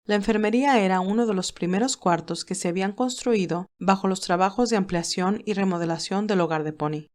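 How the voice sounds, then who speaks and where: A female narrator with a medium-pitched voice. She reads scripted fiction with measured, expressive delivery, as in an audiobook.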